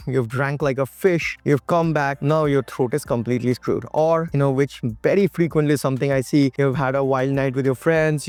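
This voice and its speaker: Male ENT doctor, reassuring explainer, lively rising and falling pitch, somewhat high voice, dry close recording. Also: no echo. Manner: conversational, reassuring, explains with everyday comparisons. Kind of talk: explanatory medical talk to camera.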